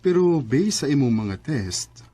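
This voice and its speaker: A man's voice: a little high, big pitch swings, unhurried, dark tone, slightly rough, exaggerated voice. A man acting a grave, sympathetic doctor in a radio drama. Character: grave, sympathetic male doctor breaking bad news.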